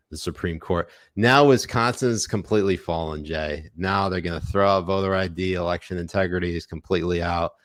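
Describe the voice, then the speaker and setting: A man's voice: low-pitched, no echo, studio quality. A man co-hosting a podcast, chatting casually about politics with sarcastic, mock-serious remarks. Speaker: podcast co-host.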